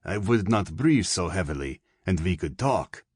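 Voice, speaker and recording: A man voicing a plump, soft-spoken middle-aged German colonel with a German accent, courteous and probing. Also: sits low, very animated, moderate pace, slightly rough, no echo, full character voice. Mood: polite, winded.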